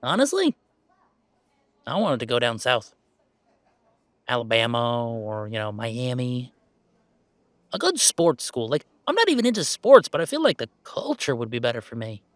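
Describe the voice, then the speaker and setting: A man playing a laid-back young werewolf gamer in an intimate audio roleplay, chatting in a wry, halting, casual way. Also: mid-range voice, big pitch swings, no room sound, close to an everyday voice. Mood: animated, mocking. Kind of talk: intimate roleplay audio, naturalistic one-sided conversation.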